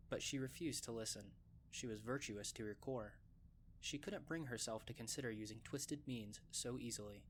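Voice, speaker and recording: Male story narrator over faint ambient noise. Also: mid-range voice. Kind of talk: scripted audiobook-style story narration.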